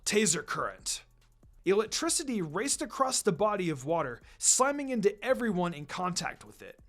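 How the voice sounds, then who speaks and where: A man's voice: sits high, a bit bright. A man reading an adventure story aloud as narrator, in a dramatic scripted delivery. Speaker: narrator, fan fiction reader.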